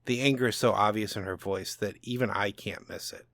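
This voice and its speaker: A man's voice: lowish voice, brisk, creaky, fairly bright tone, no room sound, studio quality. Man playing a bitter, brooding old father. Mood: brooding regret. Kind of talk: dramatic audiobook narration.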